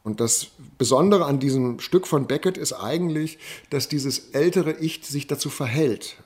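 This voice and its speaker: A man's voice: fairly high pitch, normal speed, darkish tone. A man gives reflective interview commentary in a thoughtful way, repeating points for emphasis.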